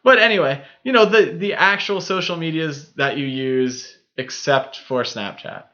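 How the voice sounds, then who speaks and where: A man's voice: a little high, normal speed, pushed and bright. A man co-hosting a casual film discussion podcast, talking in an enthusiastic, rambling way. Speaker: podcast co-host. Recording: dull, muffled lo-fi audio.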